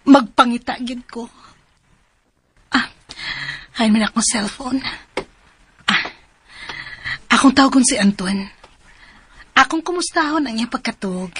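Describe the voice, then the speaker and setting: A woman's voice: fairly high pitch, slow pace, long pauses, a bit bright, slightly rough, heavily acted. An actress playing a lovestruck, coquettish young woman in a radio drama, speaking languidly with a Cebuano accent. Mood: dreamy, satisfied.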